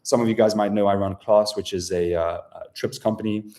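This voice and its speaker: A man's voice: lowish voice, steady tone, brisk, a little mellow. A man with a South African accent presents a live sales webinar in a casual, profane, filler-heavy style.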